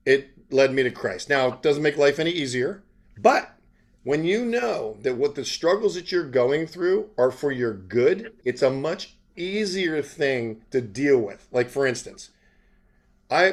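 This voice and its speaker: A man hosting an online interview, talking casually and earnestly about his own views in a rambling, halting way. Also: medium pitch. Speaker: interviewer and online show host. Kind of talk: casual chat with personal testimony.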